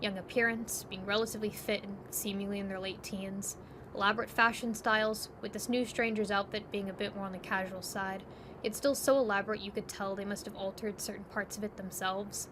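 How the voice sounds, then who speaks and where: A woman's voice: medium pitch, steady tone, brisk, noisy background. A woman narrating a scripted second-person story as the narrator.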